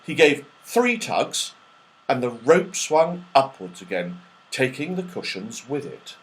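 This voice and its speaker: A man reading a children's story aloud in an expressive, dramatic storytelling manner. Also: mid-range voice, takes it slowly, low-quality recording. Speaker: audiobook reader.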